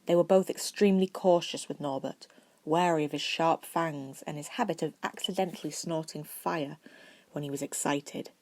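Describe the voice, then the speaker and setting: Low-pitched woman narrating a story. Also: steady tone, moderate pace, a little mellow. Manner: reading aloud with stumbles and corrections. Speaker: amateur audiobook narrator.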